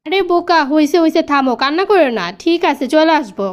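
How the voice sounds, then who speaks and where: A woman's voice: sits high, quick pace, natural voice. A woman voicing a protective, forgiving elder sister-in-law in a cartoon family drama. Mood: comforting.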